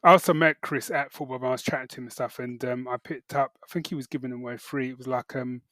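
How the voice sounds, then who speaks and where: A middle-aged British man chatting casually as a podcast co-host, halting and correcting himself as he goes. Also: mid-range voice, steady tone, a bit bright, dry recording. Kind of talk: casual chat, geek reviews.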